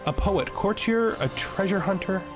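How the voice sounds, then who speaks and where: A man's voice: high voice, expressive, normal speed, music in the background. A man giving casual let's-play commentary, thinking aloud and wryly addressing the game's characters. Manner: wry, talks to game characters. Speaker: gaming video host.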